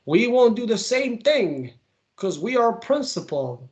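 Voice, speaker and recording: A young man from Venezuela, a political commentator, answering interview questions in a casual, animated way with many fillers and quick bursts. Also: extremely high pitch.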